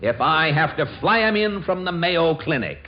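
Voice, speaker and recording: An actor playing a boastful, pompous windbag of a husband in 1940s radio comedy, speaking in a grandiose, blustering way. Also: male voice, a little high, expressive, moderate pace, natural voice. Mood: airy bragging. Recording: muffled, noisy 1940s radio recording.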